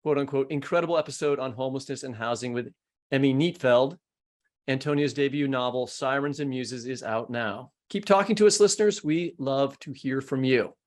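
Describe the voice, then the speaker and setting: A man's voice: medium pitch, normal speed. An American man co-hosting a podcast, moving between scripted introductions and informal, joking conversation. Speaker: podcast co-host.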